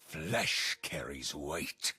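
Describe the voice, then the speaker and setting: An actor voicing a vengeful, bloodthirsty pirate captain in a video game, very raspy and gravelly, with grim pronouncements and dark humour. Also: male voice, extremely low pitch, extremely slow, heavily acted. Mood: cold menace. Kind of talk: video game character voice lines.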